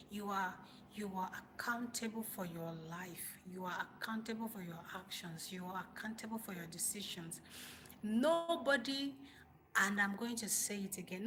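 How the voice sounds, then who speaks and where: Bright-voiced Nigerian woman, emphatic motivational livestreamer. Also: mid-range voice, a little hiss or hum. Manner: emphatic, repeats phrases for stress, rhetorical questions. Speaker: livestream host, motivational speaker. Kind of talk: informal motivational talk to live viewers.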